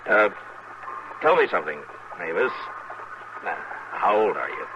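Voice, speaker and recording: A laconic, decent frontier marshal in a vintage radio western. His voice is deep and his manner dry, hesitant and unhurried. The broadcast sounds muffled. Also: big pitch swings, background noise, full character voice. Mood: bemused, hesitant. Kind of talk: 1950s radio western acting and narration.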